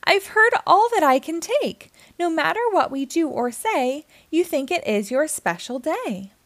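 A woman's voice: high-pitched, sing-song, a little slow, dry recording, voice pushed up, exaggerated voice. A woman voicing a huge, bossy male lion in a children's storybook, with an emphatic delivery. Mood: exasperated. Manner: loud, emphatic.

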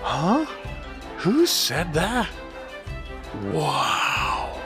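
A man's voice: a little high, huge pitch swings, drawn-out words, over music, heavily acted. A man playing a goofy, boastful, drunken adventurer in a fantasy audio drama, slurring and muddling his words. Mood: tipsy, cheerful.

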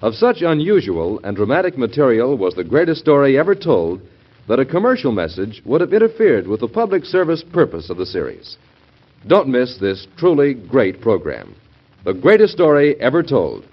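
Lively American male radio announcer. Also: medium pitch, moderate pace, slightly rough. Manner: formal, polished delivery. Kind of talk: scripted classic radio promotional announcement. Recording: dull vintage radio recording.

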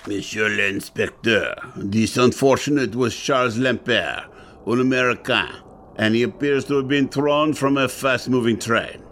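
A man's voice: mid-range voice, a little slow, fairly bright tone, a little hiss or hum. An actor playing a deferential French policeman, reporting formally in an audio drama. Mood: formal, dutiful.

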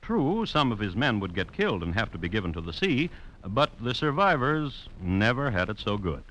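A man narrating a scripted 1950s radio story in a wry manner, with dramatic pauses. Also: fairly low pitch, big pitch swings, fairly bright tone, a little hiss or hum, low-quality recording. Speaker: narrator and host.

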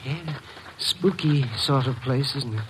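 An actor playing a fussy, excitable doctor who stammers and hesitates, in an old-time radio drama. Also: male voice, medium pitch, slow, noisy background, close to an everyday voice. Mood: nervous. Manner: stammering, flustered.

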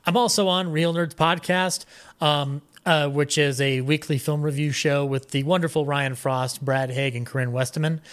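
A man talking casually as a podcast guest, halting and effusive in manner. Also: fairly high pitch, steady tone, fairly bright tone. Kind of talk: casual chat.

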